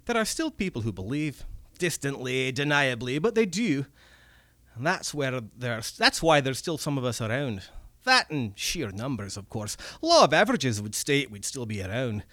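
A man voicing an old male goblin, a red cap, with a gruff, folksy manner. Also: mid-range voice, very animated, bright, forceful tone, no room sound, clean studio sound. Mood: wistful. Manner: gruff, folksy, drawn-out swearing.

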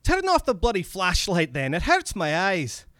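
A man's voice: sits high, huge pitch swings, moderate pace, a bit bright, no echo, clean studio sound, voice pushed up, full character voice. A man voicing a sarcastic, grumpy but polite little old vampire man with a Scottish accent in a story reading. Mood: pained, irritated. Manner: scolding, wheedling, colloquial.

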